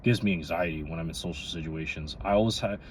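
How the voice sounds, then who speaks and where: Very deep-voiced young man rambling candidly. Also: quick pace. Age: 20s, twenty-three. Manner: rambling, candid, frequent "you know". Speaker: vlogger. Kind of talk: casual confessional monologue. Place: inside a parked or running car. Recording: noisy, vehicle rumble inside car.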